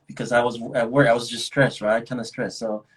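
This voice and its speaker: A man's voice: medium pitch, little pitch movement, fairly quick, husky. A young adult man with a Nigerian accent, chatting casually as a guest, rambling with many restarts and frequent laughs. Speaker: actor guest. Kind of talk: casual video chat.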